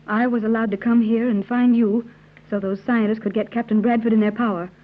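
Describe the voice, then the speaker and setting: A woman playing a gentle, anxious, well-spoken mother in an old-time radio serial. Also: a little high, level tone, fairly quick, natural voice. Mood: worried. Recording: muffled, noisy vintage radio recording with hum.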